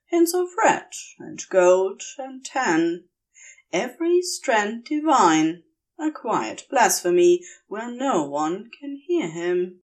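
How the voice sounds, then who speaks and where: A woman narrates fiction at an unhurried pace. Her voice sits at a middle pitch and moves in lively swings, shaping each phrase of the scripted story expressively. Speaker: fan fiction reader, narrator.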